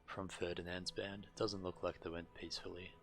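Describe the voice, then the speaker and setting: Dark fantasy game hero, weary grim man, low gravelly voice, terse and grave. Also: little pitch movement, normal speed. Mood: wary. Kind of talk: dramatic video game voice acting.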